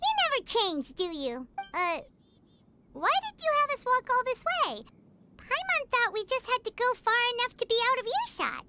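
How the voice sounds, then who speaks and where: A woman voicing a small, talkative, childlike fairy girl companion in a video game, squeaky and perky. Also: wildly swooping pitch, moderate pace, heavy background noise, exaggerated voice. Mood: cheerful, curious. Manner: perky, speaks of herself in third person. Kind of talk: English video game voice acting. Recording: music playing behind the voice.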